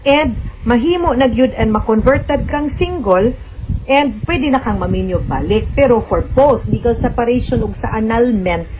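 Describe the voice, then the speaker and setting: A dull radio recording with clear noise carries a woman's voice with a Cebuano Filipino accent. She hosts an advice program as a lawyer. She explains legal points to listeners, dropping in English terms. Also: on the high side, animated, a little slow.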